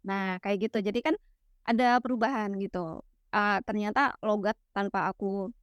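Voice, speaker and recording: A young woman from West Sumatra explaining ideas in a relaxed, teacherly chat, full of fillers and tag questions. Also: mid-range voice, normal speed, smooth, no room sound, clean studio sound. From West Sumatra, Minangkabau. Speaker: guest explaining literary theory.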